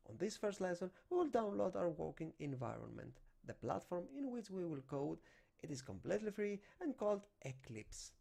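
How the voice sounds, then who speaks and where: A young man with a Greek accent talks through a coding tutorial step by step, his phrasing clearly non-native. His voice sits a little high and moves around a lot, with a gravelly edge. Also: sing-song, moderate pace, warm tone. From Greece. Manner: non-native English phrasing, talking through on-screen steps. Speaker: programming instructor. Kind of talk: screen-recorded coding tutorial. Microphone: desk microphone.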